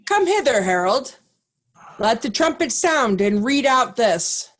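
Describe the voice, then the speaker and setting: A stern and righteous duke, played by a woman, speaks Shakespearean verse at an unhurried pace. The delivery is formal and commanding, like someone used to leading an army. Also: a little high, sing-song, heavily acted. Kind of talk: Shakespearean reader's theatre.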